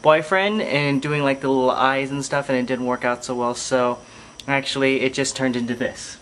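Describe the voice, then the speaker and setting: A man's voice: medium pitch, fairly even intonation, moderate pace, fairly bright tone. Man, reflective vlog monologue, hesitant, thinking aloud, light room noise. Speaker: filmmaker and podcast host.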